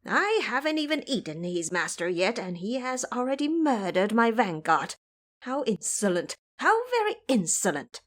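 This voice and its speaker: A woman takes on an old monster king from an audio drama tale. The voice is high and swings widely as he blusters. He is haughty, indignant and quick to rage. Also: big pitch swings, a bit bright. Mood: indignant, commanding. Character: proud, enraged old male monster king.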